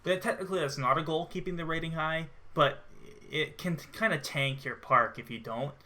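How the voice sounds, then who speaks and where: Bright, forceful male game commentator. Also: on the high side, moderate pace, slight background noise. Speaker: co-host of gaming series. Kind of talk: casual gameplay commentary.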